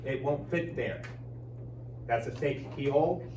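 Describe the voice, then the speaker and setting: A man's voice: mid-range voice, measured pace, noisy background, natural voice. A man playing a defensive, sentimental divorced father in a staged reading of a musical comedy. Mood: matter-of-fact. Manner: defensive, pleading, stammering when flustered. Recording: echoey distant stage pickup, prominent music.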